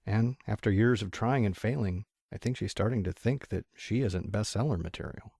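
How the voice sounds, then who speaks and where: A man playing a weary, reassuring pony father in an amateur audio drama, calm and confiding. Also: on the low side, normal speed, creaky, breathy edge, dry recording, clean studio sound. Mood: confiding, concerned.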